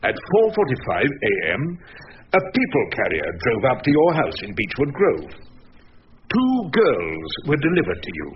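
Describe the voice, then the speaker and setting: An actor playing a dogged, wry old defence barrister in a radio drama, questioning theatrically and insistently. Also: male voice, mid-range voice, wildly swooping pitch, normal speed, muffled recording, slight background noise. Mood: accusing.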